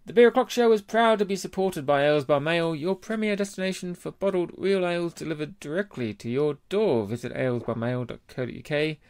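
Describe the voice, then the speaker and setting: A man's voice: a little high, fairly quick, hardly any pauses, clean tone, no echo. A man with a British accent, chatting casually as co-host of a beer podcast.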